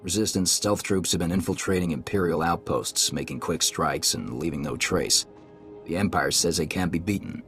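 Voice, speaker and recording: Military tech specialist: deep, grim, terse, sure of himself. Video game cutscene. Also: male voice, moderate pace, a bit bright, faint music behind. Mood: grim, confident. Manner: terse, blunt.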